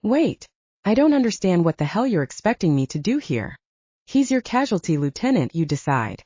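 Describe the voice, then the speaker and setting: A woman's voice: medium pitch, big pitch swings, moderate pace, mellow, slightly rough, no room sound, lo-fi sound. A woman reading as a defiant, frustrated female soldier in an audiobook, questioning and indignant. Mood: confused, indignant.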